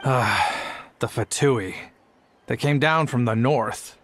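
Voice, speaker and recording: A man's voice: medium pitch, slow pace, a bit bright, a little hiss or hum. An actor playing a polite, earnest travelling merchant and family man in a video game. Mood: earnest, explaining.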